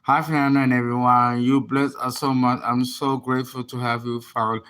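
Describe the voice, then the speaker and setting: A man's voice: mid-range voice, little pitch movement, moderate pace, fairly bright tone. A man, an astrologer and tarot reader, chatting casually on a livestream and rambling as he reads viewer comments aloud.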